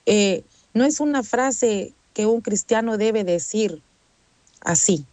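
A woman's voice: medium pitch, lively intonation, slow, a little mellow, no room sound. A woman preaching a sermon in an earnest, conversational way, often adding "amén" and "¿verdad?". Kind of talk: Spanish-language sermon.

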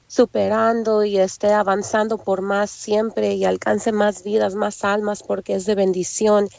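Hoarse woman preaching over the phone. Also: medium pitch, level tone, measured pace, a little mellow, no echo. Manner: humble, many "am" fillers, frequent amens. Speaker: guest preacher. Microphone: phone call.

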